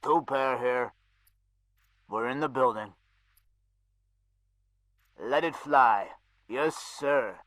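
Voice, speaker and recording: An actor playing a steady, obedient soldier who gives terse military radio replies in a video game. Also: male voice, medium pitch, drawn-out words, pauses often. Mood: businesslike. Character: steady soldier acknowledging orders.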